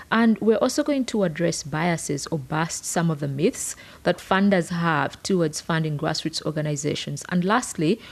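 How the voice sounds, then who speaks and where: A woman's voice: on the low side, darkish tone. A woman with a Ugandan accent speaking as a podcast co-host in an earnest, articulate, explanatory way. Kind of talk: prepared podcast conversation.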